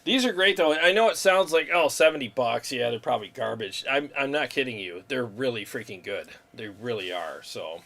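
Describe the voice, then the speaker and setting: A man's voice: mid-range voice, lively intonation, normal speed, vocal fry, few pauses, a bit bright. A man hosting a guitar livestream, chatting casually about gear with plenty of fillers and frequent laughs. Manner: laid-back, laughs often, talks gear with fillers. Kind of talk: casual chat.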